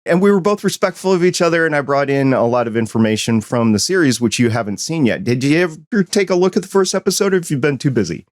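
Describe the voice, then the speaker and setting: A man's voice: mid-range voice, expressive, quick pace, few pauses, dry recording, studio quality. A man hosting a hobby podcast, reading news and plugs in an upbeat way before welcoming a guest. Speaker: podcast host. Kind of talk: casual podcast intro and interview.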